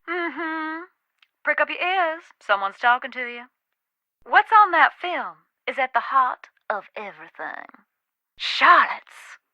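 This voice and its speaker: Wry Southern ghost expert, American South accent, folksy, teasing, haunted, high forceful voice, dry close sound, video game. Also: female voice, dry recording, heavily acted. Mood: frank, knowing.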